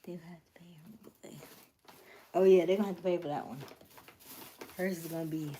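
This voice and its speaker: A woman chatting casually with her viewers on a livestream, drifting into soft whispers and laughing often. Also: fairly low pitch, steady tone, brisk, a little hiss or hum. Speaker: livestream host.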